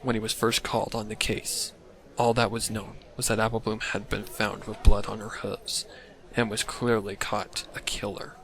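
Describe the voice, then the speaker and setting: Low creaky-voiced man narrating a story. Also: little pitch movement, moderate pace, a crowd in the background, rough recording.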